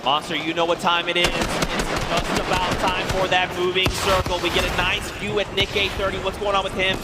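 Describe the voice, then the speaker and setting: A young man doing live play-by-play commentary on a headset mic, excitable and rising to shouts, his voice going hoarse. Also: sits high, normal speed, loud music behind the voice. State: losing his voice. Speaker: esports caster. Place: large arena stage with live audience.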